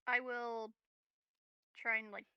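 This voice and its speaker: A woman's voice: a little high, level tone, slow, smooth, dry recording, muffled recording. A woman chatting casually as a player in a tabletop game, a bit hesitant and giggly.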